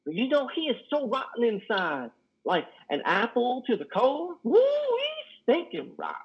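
A man in his thirties playing a lonely, guilt-ridden, simple-minded trucker in a play reading, with halting, folksy speech. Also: very high voice, huge pitch swings, breathy edge, heavily acted. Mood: troubled, intense. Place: indoor room on a video call. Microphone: headset. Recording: muffled video-call audio.

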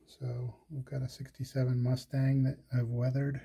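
A man casually narrating a tour of his collection, listing items in a slow, low-key way with pauses and fillers. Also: completely flat delivery, gravelly, mellow, husky.

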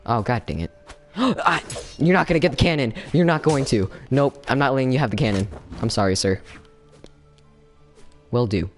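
A young man chatting casually while he streams a fighting game, excitable, with sudden yelps and laughs. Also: medium pitch, moderate pace, pauses often, soft background music. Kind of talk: casual gameplay commentary. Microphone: no camera, desk mic.

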